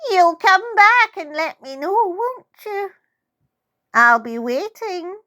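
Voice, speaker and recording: A spooky old lady speaks in a thin, high, quavering voice. She is impatient and eerie, drawing her words out slowly, as in a ghostly children's audiobook. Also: animated, bright, forceful tone, full character voice. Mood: eerie, insistent. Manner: barking, slow, drawn-out questions.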